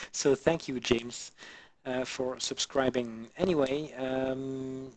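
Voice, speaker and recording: Man from Belgium, slow talker, halting, lots of ums, headset mic, casual stream chat. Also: medium pitch, little pitch movement, no room sound, poor audio. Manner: halting, many fillers, answers chat viewers. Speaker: live streamer doing 3D modelling. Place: home in the Alpine region.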